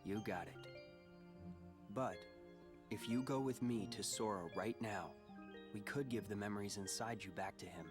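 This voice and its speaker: A man playing a guarded, brooding but quietly caring teenage boy in a video game cutscene, terse and measured. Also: lowish voice, lightly acted. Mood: somber, measured. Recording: game music behind the voice.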